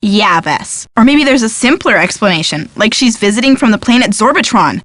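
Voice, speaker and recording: Wry young woman, sceptical, sarcastic, practical, slightly high pitch, game dialogue, dry recording. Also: lively intonation, a little fast, a bit bright, no room sound. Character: sardonic, practical young tomboyish woman, sleuth's sceptical friend. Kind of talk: scripted video game dialogue.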